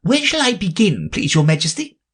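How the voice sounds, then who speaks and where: A man voicing a nervous, fussy rabbit acting as court herald, speaking in a prim, respectful, hurried way. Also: high voice, big pitch swings, a bit bright, pitched above the performer's own voice, full character voice.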